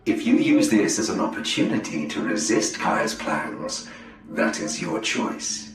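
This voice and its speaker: An actor playing a cool, composed alien elf spymaster in a video game, speaking formally and measuredly. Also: male voice, lowish voice, big pitch swings, a little slow. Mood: cool authoritative. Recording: echoey with faint music.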